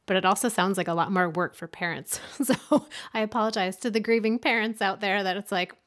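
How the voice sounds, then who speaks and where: A woman's voice: mid-range voice, fairly quick, fairly bright tone. A woman host speaking in an everyday mid-range voice. She responds to her guest in an easy, conversational tone with a friendly, slightly apologetic touch. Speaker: interview host. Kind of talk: conversational interview.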